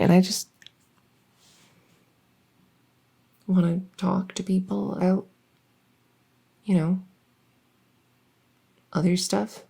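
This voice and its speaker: A woman's voice: lowish voice, gravelly, mellow. A woman playing a shy, lonely, socially awkward vampire who wants friends, talking in a hesitant, rambling way in an ASMR audio roleplay. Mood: awkward, earnest. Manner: hesitant, halting, rambling, full of self-corrections.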